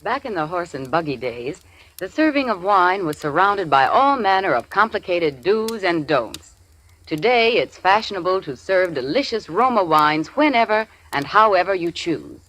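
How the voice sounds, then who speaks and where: Genteel old radio ad voice, lively up and down. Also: medium pitch, normal speed, poor audio. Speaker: commercial spokesperson. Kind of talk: 1940s radio commercial.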